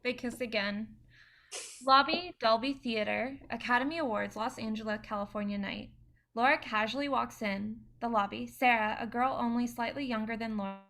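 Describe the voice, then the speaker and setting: A woman's voice: a little high, moderate pace, a bit bright, slight background noise. A woman reading stage directions as scripted narration for a play.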